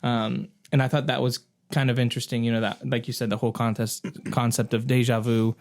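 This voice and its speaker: A man's voice: medium pitch, little pitch movement, a little fast. A man hosting a casual pop-culture podcast, chatting in an informal, conversational way. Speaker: podcast host.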